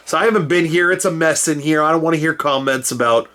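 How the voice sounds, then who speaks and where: A man's voice: fast talker, bright, forceful tone. Rambling, jokey man with a cold. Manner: rambling and jokey, with sudden jumps in pitch. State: getting over a cold. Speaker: host. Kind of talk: casual chat. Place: cluttered room at home.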